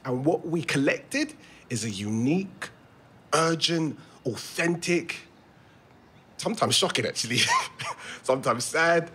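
An eager young London guy in an audio drama, with a fairly high voice that moves up and down animatedly. He is earnest and overenthusiastic and trips over his own pitch. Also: a bit bright. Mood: earnest, upbeat. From London, England. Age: young adult. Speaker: actor in a fiction podcast. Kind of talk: naturalistic audio drama dialogue. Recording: faint ambient background noise.

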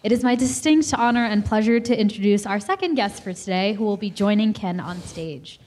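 A woman's voice: mid-range voice, lively intonation, fairly quick, a little mellow. A woman in her twenties, a student, giving a formal and fluent guest introduction into a handheld mic at a lecture-hall podium. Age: 20s. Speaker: student event coordinator.

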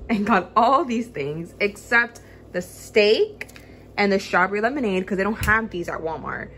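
A young adult woman chatting casually and enthusiastically to viewers as a vlogger in a home kitchen. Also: mid-range voice. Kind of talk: casual grocery haul vlog chat. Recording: clear background noise and room ambience.

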